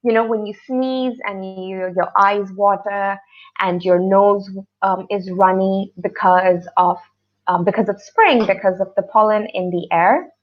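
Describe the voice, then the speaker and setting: Female webinar teacher from Pakistan, medium pitch, informal and a little hesitant, lo-fi call sound. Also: unhurried. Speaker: English teacher co-hosting a webinar. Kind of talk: online lesson, informal explaining. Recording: dull, lo-fi online call audio.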